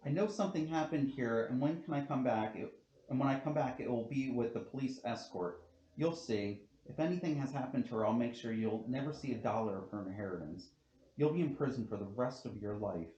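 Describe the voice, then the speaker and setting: Man acting an insistent psychic neighbor, mellow, echoey. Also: medium pitch, brisk, close to an everyday voice. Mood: threatening. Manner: insistent, grave. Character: insistent male neighbor, suspicious psychic spiritual guide. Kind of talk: table read acting. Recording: muffled, roomy echo, faint noise.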